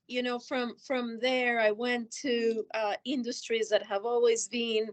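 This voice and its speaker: A woman's voice: fairly high pitch, a bit bright. A woman from Colombia, a native Spanish speaker with a Colombian accent, telling a personal story unscripted as a panelist. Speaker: panelist, finance executive.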